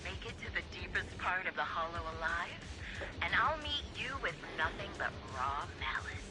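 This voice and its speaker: A woman's voice: expressive, moderate pace, over music, close to an everyday voice. An actress playing a vengeful, unhinged female sniper villain in a video game, sneering and theatrical with bitter mockery. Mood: menacing. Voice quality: high, swooping voice. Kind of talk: dramatic video game voice acting.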